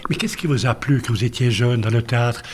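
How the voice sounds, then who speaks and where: Interviewer, mid pitch, measured questions. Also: slight background noise.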